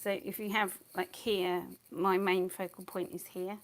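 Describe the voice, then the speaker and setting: A woman's voice: mid-range voice, flat delivery, a little slow. Chatty woman muttering while she paints. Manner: chatty, rambling, thinks aloud, often mutters under her breath. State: losing her voice. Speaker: watercolour artist teaching. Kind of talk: casual live painting talk.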